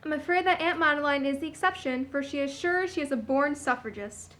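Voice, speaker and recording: Earnest young daughter played by a woman, radio drama: high voice, polite yet questioning, noisy recording. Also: brisk, natural voice. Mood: politely doubting. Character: earnest, polite, quietly challenging 21-year-old daughter. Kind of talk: scripted radio play acting. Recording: clear background noise, clicks.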